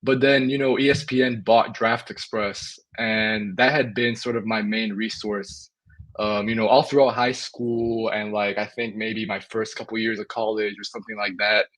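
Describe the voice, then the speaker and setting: A young man from Spain talks as a guest on a podcast, relaxed and easygoing. His voice sits a little low, and he pads his thoughts with casual fillers. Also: moderate pace. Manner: relaxed, lots of "you know" fillers. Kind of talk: casual interview.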